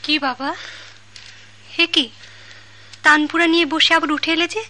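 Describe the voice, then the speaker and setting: Concerned young daughter, high-pitched, bright, girlish questioning, Bangladeshi accent, radio drama. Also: fairly even intonation, normal speed. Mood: concerned, puzzled. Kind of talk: old-fashioned Bengali radio drama acting.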